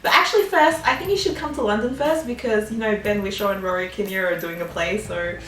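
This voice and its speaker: A woman's voice: medium pitch, fast, a bit bright, roomy sound, distant mic, low-quality recording. A young woman in her twenties, chatting through a casual Q&A with a bubbly, giggly manner and lots of "um"s and "like"s. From Australia. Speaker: vlogger. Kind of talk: casual Q&A chat.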